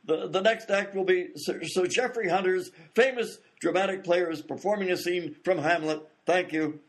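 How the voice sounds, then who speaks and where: A man playing an excitable variety show announcer in a radio comedy, with showy, easily flustered delivery. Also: sits high, moderate pace, a little hiss or hum, natural voice.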